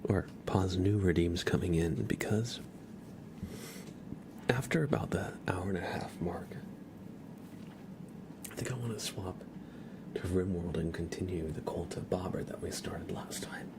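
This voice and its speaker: A man with a very low, creaky voice hosts a casual ASMR stream. He speaks softly and often drops into a whisper, with clear noise in the recording. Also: a little slow, pauses often. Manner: hushed, often slipping into whispers. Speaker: ASMR livestream host. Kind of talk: casual soft-spoken ASMR stream chat.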